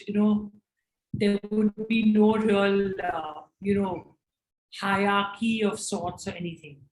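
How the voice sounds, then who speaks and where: A woman moderating a panel and introducing its speakers. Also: mid-range voice. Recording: echoey room sound.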